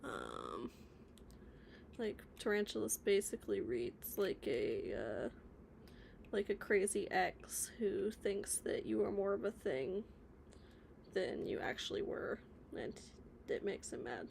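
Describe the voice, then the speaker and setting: A woman's voice: mid-range voice, huge pitch swings, slow, vocal fry, pauses often, noisy background. A woman live-streaming her stop-motion animation work, chatting casually, reading comments and rambling with mumbled asides. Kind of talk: casual livestream chat reading viewer comments. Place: home workspace, animating on the floor.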